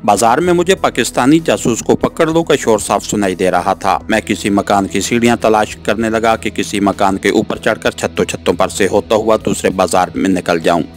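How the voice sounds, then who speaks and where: Male audiobook narrator, lower register, first-person thriller storytelling with soft music underneath. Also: moderate pace, few pauses. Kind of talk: scripted first-person novel narration, suspense. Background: background music.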